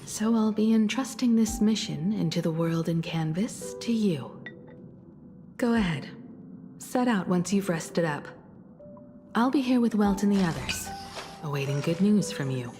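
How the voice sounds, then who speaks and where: A woman voicing a composed, gentle, dutiful noblewoman in a video game, formal and measured, over music. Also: mid-range voice, expressive, natural voice. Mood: calm, earnest.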